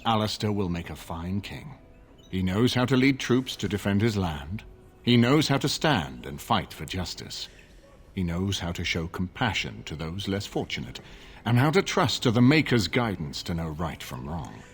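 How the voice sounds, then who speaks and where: A man playing a shrewd, seasoned older nobleman in a fantasy video game, speaking with deliberate, paternal authority. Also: sits low, animated, a little hiss or hum. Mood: firm, assured.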